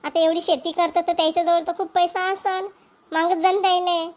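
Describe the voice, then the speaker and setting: A woman's voice: very high-pitched, flat delivery, a little fast. A woman playing a spoiled, argumentative young wife in a cartoon, whiny and defiant, with a Vidarbha Marathi accent. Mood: demanding. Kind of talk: amateur cartoon voice acting.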